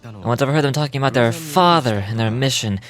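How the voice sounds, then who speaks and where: A man's voice: medium pitch, quick pace, fairly bright tone, soft background music, natural voice. A man voicing a kindly, modest stage magician from a game, reading his diary in a reflective way and occasionally stumbling over words. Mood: concerned, reflective.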